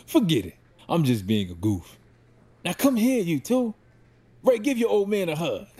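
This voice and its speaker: Man playing husky, animated, down-on-his-luck father. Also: a little high, normal speed. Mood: playful, affectionate. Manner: earnest, excitable. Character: remorseful recovering addict father, hopeful, playful, working-class. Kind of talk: audio drama acting.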